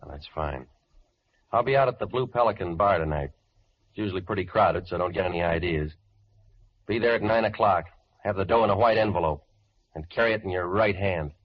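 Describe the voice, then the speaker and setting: An actor playing a cocky, wisecracking blackmailer in a 1940s radio crime drama, with clipped slangy talk. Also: male voice, deep voice, brisk, pauses often. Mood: coolly threatening. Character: cocky, hard-boiled male blackmailer, smooth-threatening and wisecracking. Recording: muffled vintage 1940s radio recording.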